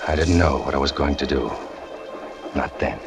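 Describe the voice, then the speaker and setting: A brooding, jealous trapeze man. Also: normal speed. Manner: low, confessional, tense. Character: brooding jealous young male trapeze artist narrating. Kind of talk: 1940s radio acting. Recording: muffled old radio broadcast with music.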